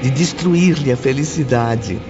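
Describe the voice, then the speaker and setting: A man's voice: medium pitch, slow pace. A man narrating a dramatic audiobook from a script. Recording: muffled audio with loud music.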